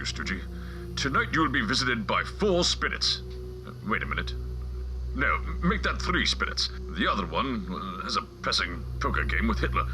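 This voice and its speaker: A man's voice: medium pitch, rough recording. A man acting in a comic radio play as an exasperated, sardonic character. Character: exasperated fat father; also wisecracking dead business partner. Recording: music behind the voice.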